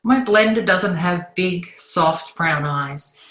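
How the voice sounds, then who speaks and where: A woman reads a sitcom mother and wife. Her voice sits a little low. She is caring and protective, with an uneasy conscience. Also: slow pace, fairly bright tone.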